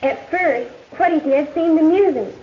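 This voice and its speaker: A young woman playing an earnest high school girl with an American accent, narrating a 1950s educational film in a conversational way. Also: normal speed, lightly acted. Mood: earnest, confiding. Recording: muffled, noisy 1950s film soundtrack.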